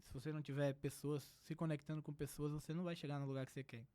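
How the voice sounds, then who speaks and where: A young man from Brazil talks as a podcast guest, earnest and reflective. His voice sits high for a man and the words come quickly. The studio sound is dry and close. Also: level tone, warm tone, no room sound. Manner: earnest, reflective, many filler "né". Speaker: psychiatrist guest. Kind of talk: casual podcast conversation.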